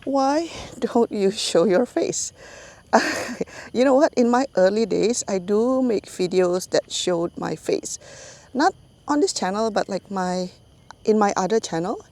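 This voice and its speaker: A woman's voice: mid-range voice, lively intonation, measured pace, a little mellow. A middle-aged woman with a Singaporean English accent, talking casually to her channel's viewers and laughing often mid-sentence. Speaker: YouTube channel host. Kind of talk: casual voiceover chat to viewers.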